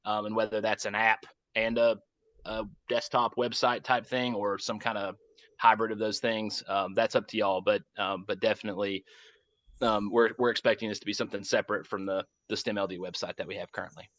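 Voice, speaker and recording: An American man answering questions informally on a conference call as a project lead, circling back to rephrase in a halting way. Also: lowish voice, level tone, fairly bright tone, dry recording. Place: conference call, dry close sound. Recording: muffled, dull conference call audio.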